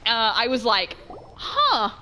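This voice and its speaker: A woman giving casual let's-play commentary, chatty and excitable, thinking out loud as she plays. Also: fairly high pitch, measured pace. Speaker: gaming streamer. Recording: game music behind. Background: game music.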